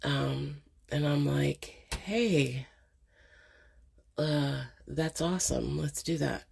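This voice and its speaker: A middle-aged woman with a cold and a congested voice, hosting a casual reflective monologue, talking slowly with many hesitations. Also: low-pitched, gravelly, darkish tone, breathy edge. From Canada. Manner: slow, hesitant, many ums and pauses. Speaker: channel host.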